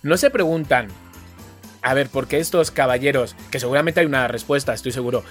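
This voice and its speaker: A man's voice: medium pitch, brisk. A man chats as a podcast co-host over faint music. His delivery is casual and emphatic, often doubling back on words and questions to press his point. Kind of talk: casual chat.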